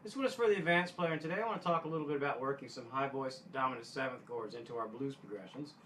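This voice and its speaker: A man giving casual guitar instruction in a halting way, often backtracking and clearing his throat. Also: mid-range voice, fairly bright tone, slight background noise, poor audio. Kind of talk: casual instructional talk to camera.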